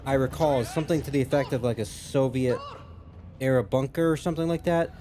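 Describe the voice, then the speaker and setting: A man's voice: mid-range voice, quick pace. A man hosting a reaction video, commenting casually in musing, rambling asides.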